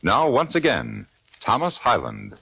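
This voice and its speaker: Gravelly formal male radio announcer. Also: mid-range voice, huge pitch swings, unhurried, noisy background. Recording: old radio broadcast.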